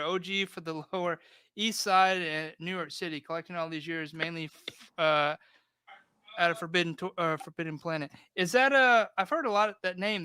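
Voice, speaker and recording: A man's voice: sits high, bright, forceful tone, clean tone, no room sound. A man in his thirties hosting a casual livestream, rambling in a laid-back way and reading chat comments aloud. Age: 30s. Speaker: host of a diorama livestream.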